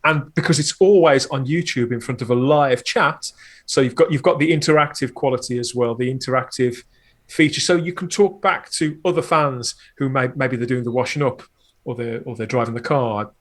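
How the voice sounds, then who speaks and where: A man with a mid-pitched voice talks as a podcast producer in an interview. He is chatty and enthusiastic, laying things out at length in a conversational way. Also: normal speed. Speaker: podcast host and producer, guest.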